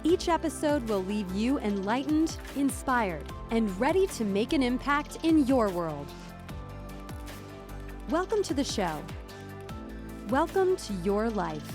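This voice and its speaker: A woman reads the show's opening in a slow, measured, uplifting style. Her voice sits a little high and moves through a lively pitch range. Music plays clearly underneath her. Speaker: show announcer. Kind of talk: scripted podcast intro. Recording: voice over background music.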